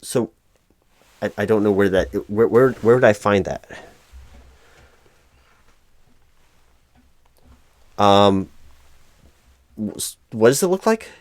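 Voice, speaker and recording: A man's voice: fairly low pitch, measured pace, a little mellow, dry recording, lightly acted. A man playing a flustered, apologetic corporate hiring manager in a one-sided roleplay, stammering and repeating his words as he goes. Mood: confused, frustrated. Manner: frustrated, hesitant. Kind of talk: improvised audio roleplay, one side of a conversation.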